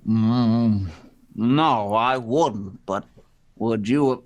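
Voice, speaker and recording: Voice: medium pitch, very slow, highs cut off, pitched below the performer's own voice. A performer playing a drawling John Wayne-style cowboy suitor in a comic radio play, tacking a pet word onto lines. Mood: drawling, wry.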